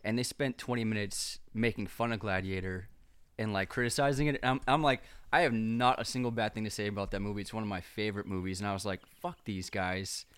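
A man from the United States with a flat, emotionless delivery, chatting as a podcast guest. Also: on the low side, brisk, clear, resonant tone, dry recording. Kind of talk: casual chat.

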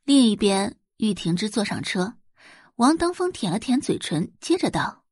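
A woman reading a novel as an audiobook narrator, with expressive scripted delivery. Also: medium pitch, normal speed, no room sound, clean studio sound.

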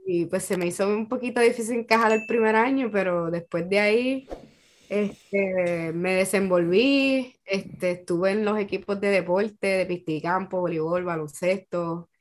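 A woman's voice: mid-range voice, normal speed, a little hiss or hum. A woman with a Puerto Rican accent, a podcast guest casually telling personal stories. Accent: Puerto Rican Spanish. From Puerto Rico. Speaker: podcast guest, army officer.

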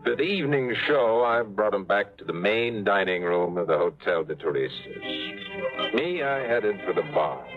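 An actor playing a tough, hard-drinking pilot turned tour guide, narrating and talking in clipped, dry, hard-boiled lines in a 1950s radio drama. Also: male voice, on the low side, big pitch swings, normal speed. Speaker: narrator and lead. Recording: lo-fi old radio broadcast with music.

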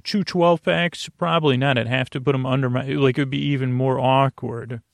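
A man hosting a sleep podcast, delivering a whimsical bedtime monologue in a halting, meandering way that drifts off into tangents. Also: medium pitch, fairly even intonation, gravelly, no echo.

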